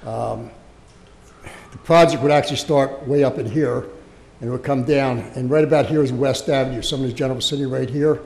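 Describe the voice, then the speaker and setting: American guy, gravelly voice, explaining stuff at a town board meeting, stumbles and repeats himself, big echoey room. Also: medium pitch, steady tone, darkish tone. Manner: halting, repeats words and phrases. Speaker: local government official presenting a project to a township board. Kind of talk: public meeting presentation, unscripted explanation. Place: indoor township meeting room. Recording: reverberant meeting hall.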